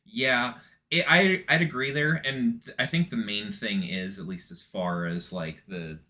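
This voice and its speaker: A man co-hosting a casual anime discussion, agreeable and quick to chime in with short affirmations. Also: on the low side, sharp tone. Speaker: podcast co-host.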